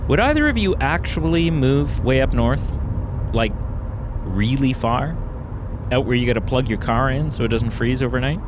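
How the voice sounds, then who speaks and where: A man's voice: medium pitch, animated, moderate pace, background noise, close to an everyday voice. A man playing a wry, reflective young man on a road trip who talks in a dry, sarcastic way.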